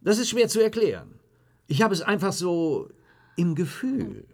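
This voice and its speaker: A man playing a thoughtful, unruffled police detective in a children's audio drama, husky and deliberate as he muses. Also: high-pitched, sing-song, full character voice. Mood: musing. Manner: deliberate, hesitant, musing.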